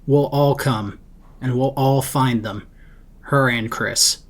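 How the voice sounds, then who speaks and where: A man's voice: medium pitch, fairly even intonation, slow. A man acting a loyal, awkwardly supportive hero friend in an audio drama, casual and hesitant. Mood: concerned, supportive.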